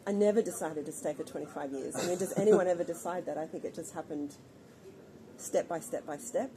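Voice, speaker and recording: A middle-aged woman from Australia answering interview questions in a thoughtful, earnest, measured way. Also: lowish voice. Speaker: guest, founder of an organization. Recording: background ambience noise.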